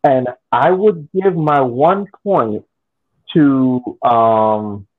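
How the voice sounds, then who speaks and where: An American man talks through a soccer game on a podcast, his voice squeezed thin as if over a phone line. He stumbles and restarts sentences often while keeping a relaxed, chatty tone. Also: medium pitch. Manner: halting, restarts often, laughs easily. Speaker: podcast co-host. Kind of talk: casual sports chat.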